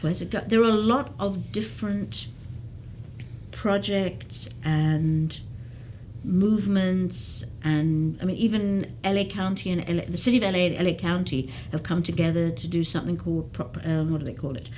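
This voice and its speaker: A woman's voice: lowish voice, normal speed, a little mellow, slight background noise, rough recording. A woman who works as a geriatric case manager, explaining at length in an interview with frequent restarts. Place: community TV studio.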